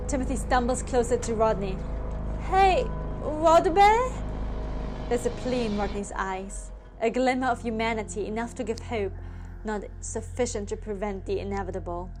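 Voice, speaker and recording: A woman storyteller reading a scripted tale in a theatrical, suspenseful manner. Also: fairly high pitch. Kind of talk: dramatic scripted story narration. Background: music.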